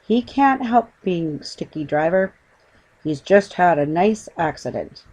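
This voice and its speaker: A woman voicing a kindly, forbearing middle-aged mother in a storybook, polite and gently reproving. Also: fairly low pitch, animated, a little slow, low-quality recording. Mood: placating.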